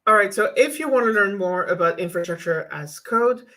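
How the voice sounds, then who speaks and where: A woman whose first language is French talks in a relaxed vlog style. She gives hands-on advice to viewers in a candid way, often stopping and restarting her sentences. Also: mid-range voice, moderate pace. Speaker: YouTuber teaching cloud infrastructure. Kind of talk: casual vlog chat.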